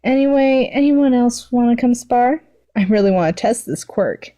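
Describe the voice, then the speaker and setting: A woman's voice: a little high, moderate pace, warm tone, voice pushed up, close to an everyday voice. A woman voicing an irritable, cocky, sarcastic young female supervillain in an exasperated, dismissive manner for a fan story. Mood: smug.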